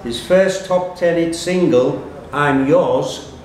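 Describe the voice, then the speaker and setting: A man's voice: fairly high pitch, slow pace, darkish tone. A man acting as quiz master, reading out questions and ruling on answers live in a pub. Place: pub quiz room, indoors. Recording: very echoey pub room, distant mic, faint noise.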